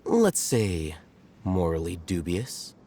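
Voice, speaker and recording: Low, sardonic young male scholar. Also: big pitch swings, warm tone, heavily acted. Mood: probing, mocking. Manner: measured, dry, articulate, faintly mocking. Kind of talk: video game dialogue. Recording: game music behind the voice.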